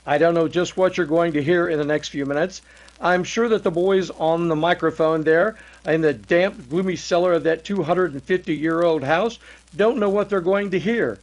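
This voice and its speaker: A man acting as an old-time radio announcer from the 1930s, pitched high for a male voice. The delivery is earnest and formal, with the polish of a salesman reading scripted copy. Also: normal speed, close to an everyday voice, poor audio. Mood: suspenseful, formal.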